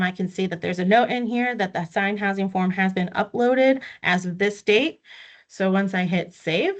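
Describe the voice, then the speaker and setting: A woman trainer patiently walking staff through a records system step by step on a video call. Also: mid-range voice. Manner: patient, methodical step-by-step explaining. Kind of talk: online training walkthrough. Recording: dull lo-fi audio.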